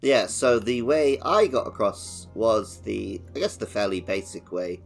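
A man with a fairly low voice, co-hosting a gaming podcast in a relaxed, wry manner. He often trails off into a quieter mumble. Faint music plays underneath. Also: unhurried, gravelly. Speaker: podcast co-host. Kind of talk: casual gaming podcast chat.

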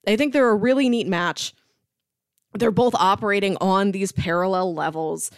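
A woman with a medium-pitched voice talks casually as a podcast co-host about films. She is enthusiastic and analytical, leaning on key words for emphasis. The sound is dry, with little room around it. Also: normal speed, no room sound. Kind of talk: casual film discussion.

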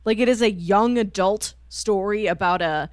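A woman's voice: mid-range voice, normal speed, clear, resonant tone, dry recording, studio quality. A woman co-hosting a podcast, talking casually and conversationally about books. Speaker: podcast co-host. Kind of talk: casual book discussion chat.

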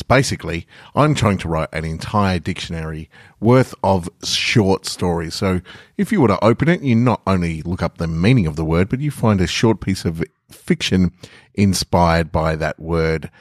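Very deep-voiced Australian man, dry close recording. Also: lively intonation, moderate pace, dry recording. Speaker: podcast host and story reader. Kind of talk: casual podcast talk and narrated short fiction.

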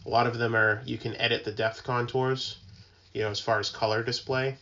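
Man, bright forceful tone, narrow pitch range, conversational explainer, informal and halting, slightly lo-fi connection. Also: little pitch movement, a little hiss or hum. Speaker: tugboat mariner, podcast guest.

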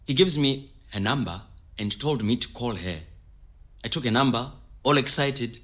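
A man's voice: mid-range voice, normal speed, a bit bright, lightly acted. An actor playing a sentimental, devoted immigrant man, recalling his life in a conversational way. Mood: amused, fond. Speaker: actor in a scripted audio story. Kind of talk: naturalistic conversational storytelling.

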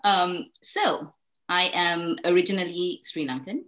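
A woman's voice: lowish voice, unhurried. A woman in her forties, a guest on a podcast, talking casually and animatedly with frequent laughs and ums. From Hong Kong. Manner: lively, laughs often, many ums. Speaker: guest, parenting coach. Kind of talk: casual interview chat.

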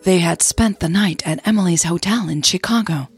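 A woman podcast host narrating an audio drama in a soft, unhurried, breathy way. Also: on the low side, faint music behind. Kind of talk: audio drama storytelling with informal podcast intro.

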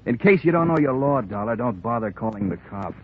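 A man's voice: medium pitch, animated, fairly quick, phone-quality sound, lightly acted. A man playing a bitter, sardonic ex-convict who threatens coolly, in a 1950s radio crime drama. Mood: threatening. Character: menacing, bitter male ex-convict bent on revenge. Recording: thin, noisy vintage radio recording.

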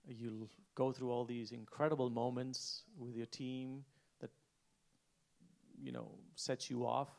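A man with a gravelly, creaky voice speaks on an event stage. He is earnest and halting, restarting as he searches for words. His pitch is mid-range. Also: little pitch movement, darkish tone. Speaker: head chef on a panel. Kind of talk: reflective panel talk. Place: event stage before an audience.

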